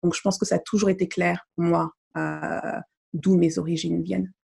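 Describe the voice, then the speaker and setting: A young woman from Mali speaks as a podcast guest, relaxed and reflective. Her voice has a gravelly, creaky edge, and she pauses often to gather her thoughts. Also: lowish voice. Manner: thoughtful, many hesitations. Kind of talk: casual interview chat.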